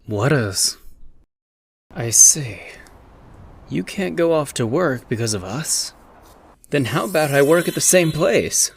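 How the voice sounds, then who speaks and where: A man's voice: fairly high pitch, big pitch swings, slow pace, vocal fry, long pauses, slight background noise, exaggerated voice. A man playing a sardonic, prying teenage boy in an anime dub, teasing and nonchalant. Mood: probing. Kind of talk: anime fan dub acting.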